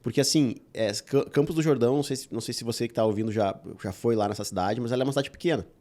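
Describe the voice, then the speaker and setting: Quick-talking male podcast host. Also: mid-range voice, dark tone. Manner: quick, enthusiastic, addresses listeners. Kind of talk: casual podcast chat.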